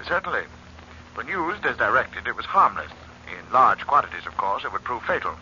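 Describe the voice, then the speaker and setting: Sharp-toned doctor on telephone. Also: male voice, medium pitch, expressive, brisk. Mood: matter-of-fact. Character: matter-of-fact male doctor answering by telephone. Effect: telephone filter. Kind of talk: 1940s radio drama acting. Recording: muffled, noisy radio telephone effect.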